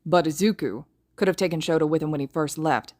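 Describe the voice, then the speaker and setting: A woman voicing a brooding, scarred young man who mutters low and quiet in a fan audiobook. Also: quick pace, natural voice. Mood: bitter.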